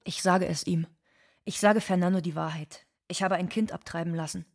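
An actress playing an anxious, bitter undocumented migrant woman in a scripted dramatic monologue, with a husky voice. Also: fairly low pitch, steady tone, moderate pace, lightly acted. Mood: bitter, resigned.

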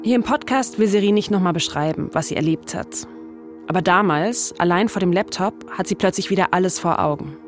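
A woman's voice: fairly low pitch, brisk, soft background music. A woman hosting a narrative podcast, reading scripted storytelling in a conversational way with short dramatic sentences. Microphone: close studio microphone.

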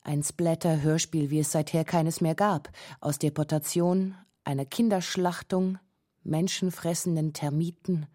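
A woman's voice: low voice, fairly even intonation, a little slow, dark tone, no echo, studio quality. A woman reading scripted radio documentary narration in a terse, pointed way. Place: dry studio.

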